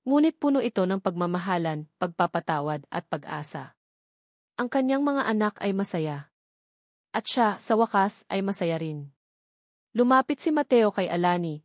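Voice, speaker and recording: A woman's voice: medium pitch, no echo. Woman narrating a dramatic story, dry sound.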